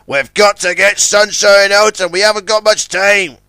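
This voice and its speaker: A man's voice: high-pitched, steady tone, moderate pace, gravelly, sharp tone, clean tone, no echo, pitched above the performer's own voice, heavily acted. A man voicing a big, wise, worried male tugboat, urgent and commanding.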